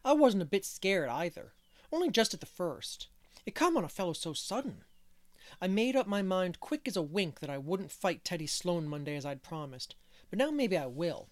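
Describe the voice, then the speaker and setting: A reader voicing a boastful, excitable little boy in an audiobook of a classic novel. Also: moderate pace, close to an everyday voice. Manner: high piping child's voice.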